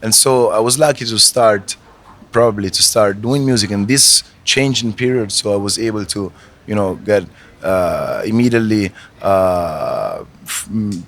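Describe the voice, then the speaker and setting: A man's voice: lowish voice, fairly bright tone. A man whose first language is Italian, a reggae artist answering interview questions in a hesitant way, with many restarts.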